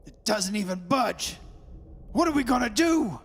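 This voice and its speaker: A man's voice: high-pitched, animated, a little slow, creaky, fairly bright tone, breathy edge, full character voice. An actor playing a desperate, anguished father in an old-time style radio horror drama. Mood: straining, frantic. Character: desperate, anguished father trying to rescue his daughter. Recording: hissy hall recording with long reverb.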